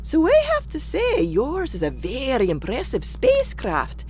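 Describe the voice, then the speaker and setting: A woman's voice: high-pitched, wildly swooping pitch, unhurried, a little mellow, slight background noise, exaggerated voice. A woman with a Scottish accent playing a brash, sweary, sardonic spaceship crew member. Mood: brash bluffing. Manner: blunt, sardonic.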